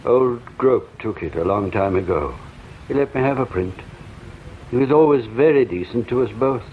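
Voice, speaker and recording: Over a narrow, noisy phone line comes a rueful, well-educated gentleman in a radio play. His mid-pitched voice is reflective and courteous, with a resigned, self-mocking turn. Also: expressive, moderate pace. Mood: resigned, wistful. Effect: telephone filter. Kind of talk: radio drama acting. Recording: thin phone-line sound with faint noise.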